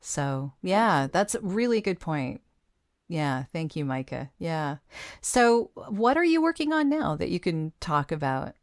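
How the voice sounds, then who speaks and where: A woman with a low-pitched voice hosts a podcast interview. She speaks conversationally, and the sound is dry and close, with very little room around it. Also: sing-song, unhurried, no echo. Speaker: podcast host.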